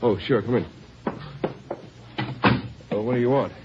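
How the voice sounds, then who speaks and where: An actor as a gruff traveling salesman, noisy old recording. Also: mid-range voice, slow pace, highs cut off, natural voice. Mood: groggy, suspicious. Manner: gruff, suspicious, confrontational. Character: groggy, surly traveling salesman turning belligerent. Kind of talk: old-time radio drama acting.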